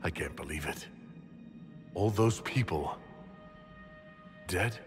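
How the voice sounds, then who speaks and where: An actor playing a bewildered young noble warrior held prisoner in a video game, halting and earnest. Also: male voice, deep voice, lively intonation. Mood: shaken, confused. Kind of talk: dramatic video game acting. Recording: echoing hall, music underneath.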